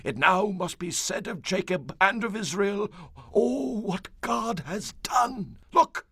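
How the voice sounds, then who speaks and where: A solemn prophet of scripture radio theatre, proclaims in a high male voice. The delivery is slow and emphatic, with sweeping swings of pitch and great fervor. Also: sharp tone, dry recording, heavily acted. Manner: declamatory, drawn-out, emphatic delivery. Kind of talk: radio theatre-style Bible dramatization.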